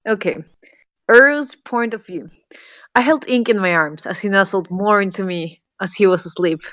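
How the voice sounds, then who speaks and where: A woman's voice: mid-range voice, moderate pace, fairly bright tone, no room sound. Giggly woman reading aloud playfully. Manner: giggly, playful, breaking off mid-sentence. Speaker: fan fiction reader. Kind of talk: casual comedic read-aloud with a friend.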